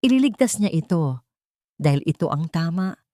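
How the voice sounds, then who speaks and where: A woman's voice: low voice, expressive, normal speed, warm tone, dry recording, clean studio sound. A woman with a Filipino accent narrating a scripted dramatic story.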